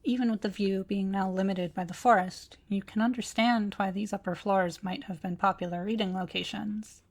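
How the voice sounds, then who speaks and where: A woman playing an ancient, courteous automaton librarian in a scripted audio roleplay, speaking formally with precise, measured diction. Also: mid-range voice, steady tone, lightly acted. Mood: calm, instructive. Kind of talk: scripted roleplay audio drama addressed to listener.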